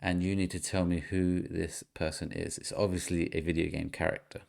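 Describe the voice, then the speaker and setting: A man's voice: deep voice, little pitch movement, fairly quick, vocal fry, darkish tone. A man hosting a casual gaming podcast, running a quiz and reading clues aloud with easy chuckles. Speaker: podcast host.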